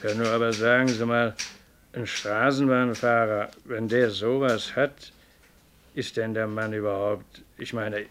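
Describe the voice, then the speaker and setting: An actor playing a hesitant, dutiful junior office clerk in a German radio play. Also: male voice, on the low side, fairly even intonation, unhurried, a bit bright, slight background noise. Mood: puzzled.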